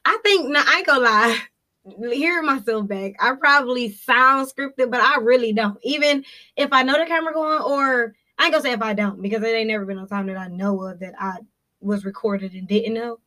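A young woman co-hosting a casual podcast chat, animated and slangy, laughing often and checking in with her listener. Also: on the high side, a little fast. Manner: animated, laughing, slangy, often says "you know what I'm saying". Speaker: podcast co-host.

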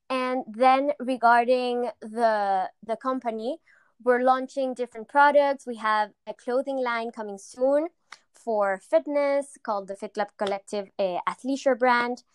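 Young Latin American woman founder, smooth voice. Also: fairly high pitch, a little slow, no room sound. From Argentina. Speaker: founder of a fitness company. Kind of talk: interview answers.

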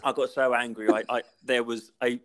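A man's voice: mid-range voice, little pitch movement, normal speed. A man, a podcast co-host in casual chat, ranting and rambling and breaking into laughter.

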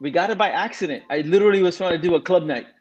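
A man's voice: sits high, very fast, dull audio, slight background noise. A man in his forties, from Singapore, speaking as a guest in a frank, casual way with many fillers. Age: 40s. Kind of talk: casual interview answer.

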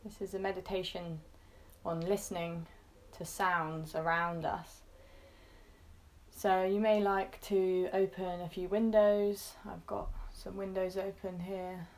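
A woman leading a guided meditation in a gentle, reassuring manner, speaking in short phrases with pauses between them. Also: fairly low pitch, flat delivery.

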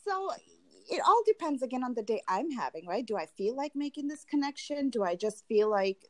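A woman's voice: on the high side, very animated, brisk. A young woman from Tanzania, a guest in an interview, talking through her own experiences in a friendly, reflective way with many fillers. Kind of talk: candid personal interview.

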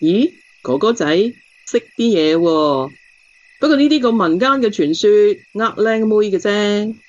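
A woman's voice: mid-range voice, lively intonation, measured pace, lightly acted. An actress plays a cool young woman with a Hong Kong accent and no patience for nonsense. She teases bluntly in radio-drama style. The sound is a little muffled. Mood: cool, dismissive. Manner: blunt, sharp-tongued, teasing. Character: blunt, aloof young woman stargazer called "big sister". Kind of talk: Cantonese radio drama acting.

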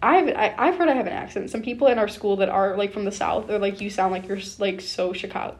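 A woman's voice: mid-range voice, fast talker. A young woman co-hosting a podcast in casual chat, chatty and slangy, often echoing her co-host. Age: young adult. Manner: chatty, banters and echoes her co-host, heavy use of "like" and youthful slang.

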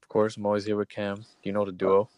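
Low-voiced upbeat podcast co-host. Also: male voice, completely flat delivery, fast talker, darkish tone, dry recording, muffled recording. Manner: upbeat, joking, asks questions. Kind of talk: casual podcast chat.

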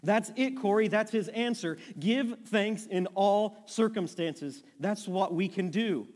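A devout, gentle woman speaks earnestly and plainly, read aloud by a man. The voice is pitched fairly high and rings with a long hall reverb. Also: normal speed, lightly acted. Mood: eager. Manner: eager, earnest, matter-of-fact.

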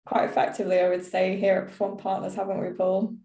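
A woman's voice: mid-range voice, monotone, fast talker, dark tone, echoey room. A woman hosting a podcast from an office, friendly and steering the talk with questions. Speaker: podcast host. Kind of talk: casual podcast interview.

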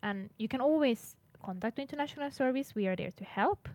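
A woman's voice: on the high side, expressive, brisk, a little mellow, no echo. A woman from Finland who works in international services, answering interview questions earnestly with light laughs. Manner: earnest, laughs now and then, restarts words.

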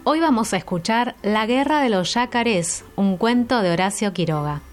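A woman's voice: medium pitch, expressive, moderate pace, soft background music. A woman presenting a scripted introduction to a children's radio theatre programme.

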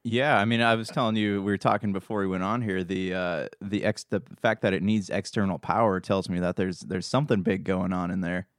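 A man's voice: deep voice, steady tone, a little fast, hardly any pauses, dry recording. Laid-back host man, dry sound. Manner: laughing, loose, stumbling. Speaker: show host. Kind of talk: casual interview.

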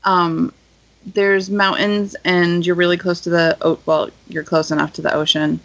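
A woman's voice: on the low side, level tone, moderate pace. A woman from Western Massachusetts, co-hosting a podcast, describing things in a rambling, explanatory way. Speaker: podcast co-host. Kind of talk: casual chat. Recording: slightly dull lo-fi sound.

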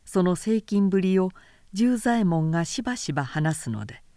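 A woman reading literary fiction as a narrator, with measured delivery and careful enunciation. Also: on the low side, expressive, dark tone, no echo, clean studio sound. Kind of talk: scripted literary audiobook narration.

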